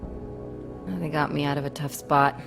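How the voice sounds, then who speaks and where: A wary, hardened female operative in a video game. Her voice is very low for a woman, with a wry, world-weary delivery. Soft music sits behind it. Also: steady tone, unhurried, vocal fry. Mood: grave, confiding. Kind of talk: video game cutscene acting.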